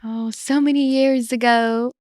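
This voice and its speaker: A woman's voice: on the high side, a little slow, no echo. A woman from the Dominican Republic, a podcast guest chatting casually about her life and how she met her husband. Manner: relaxed, chatty, with fillers and laughs. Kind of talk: casual conversation.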